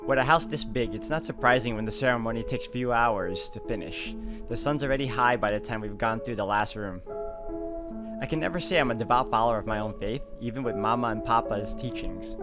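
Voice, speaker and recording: A man's voice: medium pitch, brisk. A man hosting a game walkthrough, commenting and reading visual novel narration aloud. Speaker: gaming walkthrough host. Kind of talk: let's play commentary and read-aloud narration. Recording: game music clearly behind the voice.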